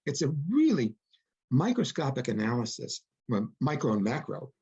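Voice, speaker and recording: Husky man explaining in an interview, dull audio. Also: medium pitch, sing-song, moderate pace, darkish tone. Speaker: author and audio producer being interviewed. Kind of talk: interview conversation.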